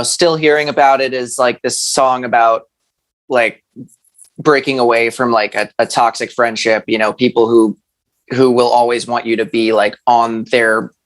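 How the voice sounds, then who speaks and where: A thirty-year-old man chatting casually as a musician guest in an interview, hesitant and rambling, with slangy phrasing. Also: mid-range voice.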